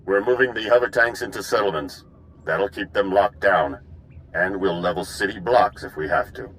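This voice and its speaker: A ruthless male mercenary officer with a deep, forceful voice. He reports curtly in military fashion, as sci-fi game dialogue. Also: normal speed, low-quality recording. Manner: curt, military. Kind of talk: video game voice acting.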